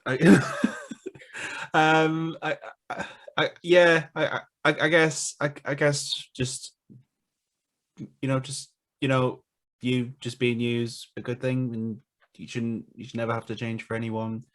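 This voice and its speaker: A man speaking as a panel guest, hesitant and halting with many restarts. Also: medium pitch, little pitch movement, a bit bright. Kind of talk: casual panel talk.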